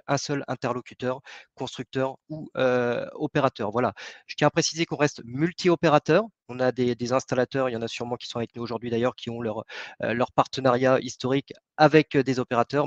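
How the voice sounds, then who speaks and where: A man's voice: medium pitch, no room sound, muffled recording. A man giving a product presentation in a webinar, hesitant, with many fillers and restarts.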